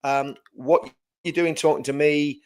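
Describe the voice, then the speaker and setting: A man hosting a live-stream chat. He talks in a warm, chatty way and drops in self-deprecating asides. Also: fairly high pitch, steady tone, unhurried, smooth.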